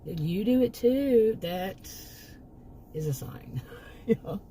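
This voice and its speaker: Middle-aged woman, channel host, soft warm mid-pitched voice going hoarse; rambling, emphatic, full of restarts. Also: animated, slow, slight background noise. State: losing her voice. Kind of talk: casual opinion monologue.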